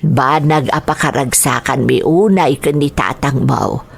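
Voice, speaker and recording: A woman voicing a loving older mother with an Ilocano accent, gentle and grateful, in an audio drama. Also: rumbling low, measured pace, vocal fry, husky, pitched below the performer's own voice, full character voice. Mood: tender.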